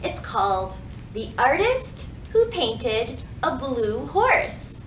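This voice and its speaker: A woman hosting a children's storytime, reading and teaching in an animated way with careful enunciation for young listeners. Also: high-pitched, takes it slowly. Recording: roomy echo, faint background noise.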